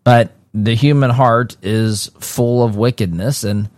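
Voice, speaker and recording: A man's voice: medium pitch, slow pace, darkish tone, no echo. A man hosting a casual solo commentary, reading from an article and breaking off into conversational asides with frequent restarts. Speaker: political commentary host.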